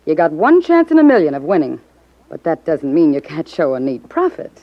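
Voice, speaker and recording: A woman's voice: low-pitched, wildly swooping pitch, a little fast, heavily acted. An American actress playing a shrewd, cocksure, wisecracking gangland woman in a 1950s radio crime drama. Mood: businesslike. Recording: dull 1950s radio recording.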